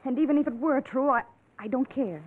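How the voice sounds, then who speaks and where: A woman's voice: high-pitched, brisk, slightly rough. Casting: a devoted, anxious young woman, vintage crime radio, muffled phone-like sound. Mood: devoted, urgent. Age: young adult. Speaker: actress in a crime radio drama. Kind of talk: classic radio drama acting.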